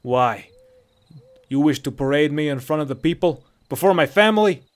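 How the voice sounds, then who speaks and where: A husky Nordic bandit played by a male reader, captive and defiant. He declaims with loud, bitter indignation, his pitch raised, in the manner of a fantasy audiobook villain's victim. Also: lively intonation, normal speed, lightly acted. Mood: furious, bitter.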